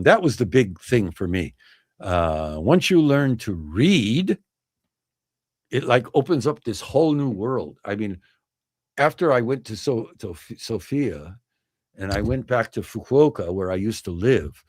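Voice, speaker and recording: A man's voice: on the low side, no room sound, clean studio sound. An older man, over sixty, hosting a livestream, chatting casually and reflectively, with rambling recollections and self-corrections. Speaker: livestream host.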